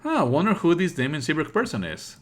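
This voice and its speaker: A man's voice: on the high side, huge pitch swings, fast. A man streaming a let's play, reading on-screen text aloud and adding sarcastic, exasperated asides. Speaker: streamer playing a visual novel.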